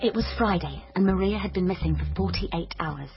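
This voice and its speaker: A British actress playing a self-important, overconfident amateur sleuth, narrating a radio comedy drama in melodramatic hardboiled style. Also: female voice, fairly low pitch, fairly quick, muffled recording, lightly acted. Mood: hardboiled, intent. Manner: melodramatic, pompous. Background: prominent music.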